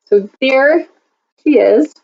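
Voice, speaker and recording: A woman's voice: high-pitched, lively intonation, takes it slowly, creaky, a little mellow, lo-fi sound. A woman teacher reading a children's storybook aloud expressively, drawing out key words for emphasis.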